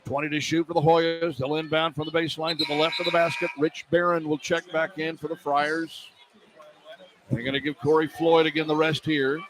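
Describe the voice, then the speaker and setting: A man doing live basketball play-by-play on the radio as the announcer, animated and quick to exclaim at the action. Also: a little high, crowd noise. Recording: poor radio feed, clear background noise.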